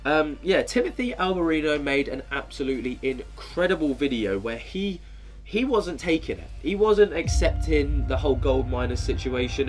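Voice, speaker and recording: Stuffy-nosed man chatting casually. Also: mid-range voice. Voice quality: nasal, stuffy. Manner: rambling, many fillers. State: congested with a head cold. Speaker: channel host. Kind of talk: casual commentary on a video.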